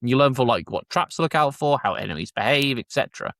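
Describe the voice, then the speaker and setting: A man's voice: medium pitch, expressive, quick pace, a bit bright, no room sound, clean studio sound. A man hosting a casual review chat among friends on a podcast. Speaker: podcast host.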